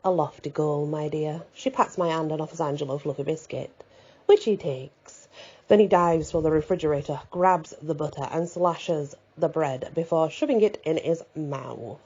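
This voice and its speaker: A woman's voice: sits low, moderate pace, dark tone, breathy edge, lo-fi sound. A woman narrating an audiobook, telling a first-person story as a reader.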